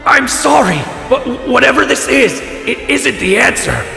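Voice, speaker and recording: A man playing a brash but remorseful hedgehog hero turned gruff werehog in an audio drama, with a gravelly voice. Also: extremely high pitch, lively intonation, moderate pace, pushed and bright, soft background music, exaggerated voice. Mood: shaken, apologetic. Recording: echoey, long cavernous reverb.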